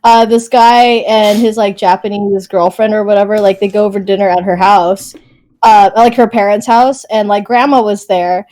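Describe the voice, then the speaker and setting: A woman's voice: medium pitch, fairly even intonation, moderate pace, warm tone. A young woman in casual chat, rambling with lots of "like" and casual swearing. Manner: rambling, heavy "like", swears freely. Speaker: podcast co-host.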